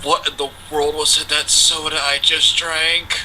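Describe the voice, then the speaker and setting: Man playing sharp, bright, incredulous young guy. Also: fairly high pitch, flat delivery, measured pace. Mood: disbelief. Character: incredulous young male computer user in a fan story. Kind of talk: amateur fan fiction dramatic reading. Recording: noisy amateur online stream.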